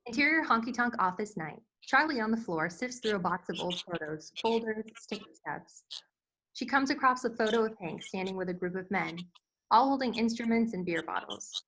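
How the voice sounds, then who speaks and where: Female narrator for screenplay read, clear-cut bright, forceful tone, medium pitch, scripted delivery. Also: animated, normal speed, a little hiss or hum, rough recording. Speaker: stage-direction narrator.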